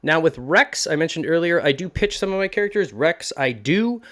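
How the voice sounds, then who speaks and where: A man's voice: on the high side, lively intonation, moderate pace, fairly bright tone. A man hosting a tutorial as a voice actor, talking casually and informally with some self-deprecating humour. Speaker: host, voice actor giving a tutorial. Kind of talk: casual instructional talk.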